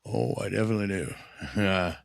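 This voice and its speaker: Gravelly low-voiced man chatting casually. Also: steady tone, fairly quick, no echo, studio quality. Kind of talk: casual chat.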